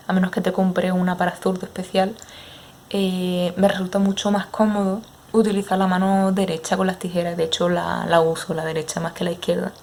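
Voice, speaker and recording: A young woman in her twenties with an Andalusian accent, answering viewers' questions in a relaxed, hesitant way with many fillers. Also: lowish voice, creaky, slight background noise. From Andalusia, Spain. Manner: unhurried, hesitant with frequent fillers. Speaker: ASMR creator. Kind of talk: casual Q&A chat with viewers.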